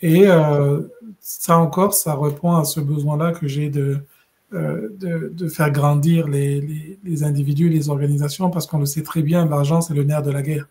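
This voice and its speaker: A middle-aged man from West Africa, a podcast guest, telling his story in a measured, thoughtful way with many pauses and restarts. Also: fairly high pitch, steady tone, a little mellow. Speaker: guest, regional director. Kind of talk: conversational podcast interview.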